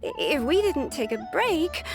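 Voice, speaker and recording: A woman's voice: high voice, big pitch swings, slow, vocal fry, over music, full character voice. A woman voicing a flustered, overworked young female clerk in a video game, with a pleading manner.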